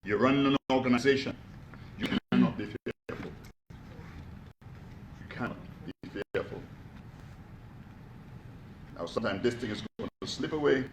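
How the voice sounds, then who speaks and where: A man giving a motivational talk to a live audience, energetic, asking them questions and calling out to them. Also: fairly low pitch, reverberant, a little hiss or hum, poor audio. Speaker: motivational speaker. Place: echoey room with an audience.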